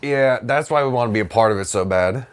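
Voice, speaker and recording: A man's voice: medium pitch, quick pace, clear, resonant tone. A man co-hosting a podcast in casual comedic chat, crude, profane and cynical in how he talks. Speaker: podcast co-host.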